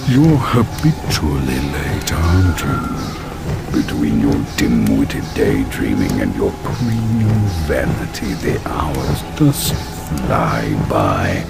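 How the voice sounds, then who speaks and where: An actor playing a sinister male monster with a gravelly voice, scornful and precise, drawling out cruel accusations. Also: big pitch swings, takes it slowly, over loud music, exaggerated voice. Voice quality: very deep, gravelly.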